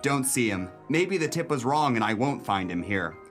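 A man's voice: medium pitch, big pitch swings, moderate pace, close to an everyday voice. An actor plays a hard-boiled police detective in a pulp radio drama. His voice sits in the middle range with light music behind. He sounds wary and wry, full of tough-guy talk. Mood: wry, watchful. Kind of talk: 1930s pulp radio play acting.

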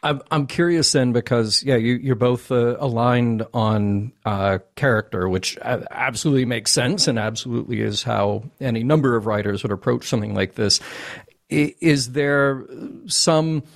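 Podcast host, male, average pitch, conversational interviewer who circles toward his point. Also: normal speed, creaky.

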